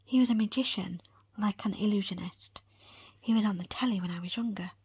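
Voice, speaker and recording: A woman voicing a patient, placating foster mother in a whispered audiobook, gentle and hesitant. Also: mid-range voice, a little fast, slightly rough, dry recording. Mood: coaxing. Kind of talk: whispered audiobook character acting.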